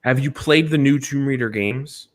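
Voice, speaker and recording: A man's voice: medium pitch, moderate pace. A man co-hosting a casual group discussion, offering opinions and qualifying them as he goes. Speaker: podcast co-host.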